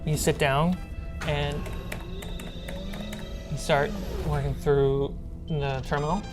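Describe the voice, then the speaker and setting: A woman with a low voice narrates as the game master of a tabletop role-playing game. Her delivery is informal and a little halting as she lays out each description. Light music sits behind her. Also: flat delivery.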